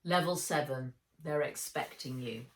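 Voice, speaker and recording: A woman's voice: very deep voice, a little slow, far from the mic. A woman voicing a businesslike male gate guard giving instructions in a children's audiobook. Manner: matter-of-fact, instructing. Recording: roomy, echoey room sound.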